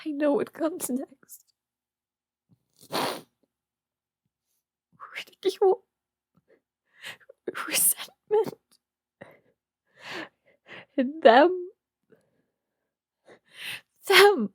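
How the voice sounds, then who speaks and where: A high-pitched, slow-speaking young queen played by a woman in a historical audio drama. She is proud yet trapped and distraught, with halting, emotional phrasing. The recording is close and dry. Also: lively intonation, no echo, full character voice. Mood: bitter, despairing. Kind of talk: historical romance audio roleplay, dramatic monologue.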